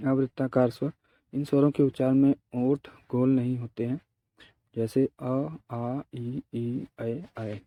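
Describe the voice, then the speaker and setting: A man's voice: medium pitch, little pitch movement, measured pace, no room sound, phone-quality sound. A man teaching in a methodical way, reading out lesson notes and explaining them, repeating key terms and examples.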